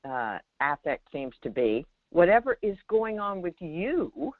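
A woman's voice: on the low side, big pitch swings, unhurried, dry recording. A woman giving spiritual guidance to callers as a meditation teacher, speaking emphatically and often checking in with rhetorical questions. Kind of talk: spiritual guidance in a call-in conversation. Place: remote audio call. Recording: dull, lo-fi call audio.

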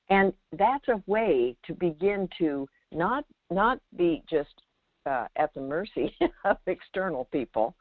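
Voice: mid-range voice, no room sound. A teacher guiding a caller in conversation, speaking emphatically, repeating phrases and checking in with short questions, over a phone line. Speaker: host, meditation teacher answering a caller. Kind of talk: spiritual guidance in a call-in conversation. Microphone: phone call. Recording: narrowband phone line sound.

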